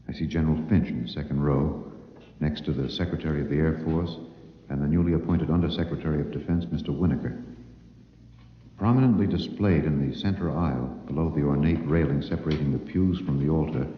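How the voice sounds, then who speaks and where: An actor playing a solemn radio reporter giving measured eyewitness commentary in a 1950s radio drama. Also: male voice, very deep voice, slight background noise. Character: solemn male radio reporter at a church service. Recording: reverberant hall, dull old radio recording.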